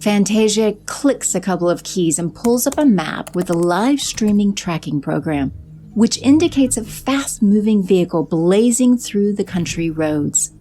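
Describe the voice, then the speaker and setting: A woman giving measured, scripted narration for an audio drama. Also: medium pitch, animated, dark tone. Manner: measured storytelling.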